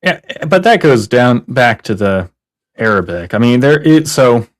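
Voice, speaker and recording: Male co-host, mid-pitch, lively storytelling, acts out the other side's lines. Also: measured pace. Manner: animated, mimics opponents in a higher voice. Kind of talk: live stream reaction chat.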